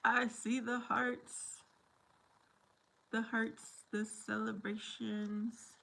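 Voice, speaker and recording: A woman's voice: on the high side, level tone, drawn-out words, creaky, a bit bright, low-quality recording. A woman chatting casually with viewers on a livestream, slipping Spanish phrases in between English. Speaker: livestream host.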